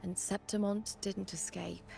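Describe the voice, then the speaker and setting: Solemn, gravelly warrior woman. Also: medium pitch, little pitch movement, measured pace, dark tone. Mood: grave, reverent. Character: solemn battle-hardened woman warrior guide. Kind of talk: scripted video game voice acting. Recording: game audio with music behind.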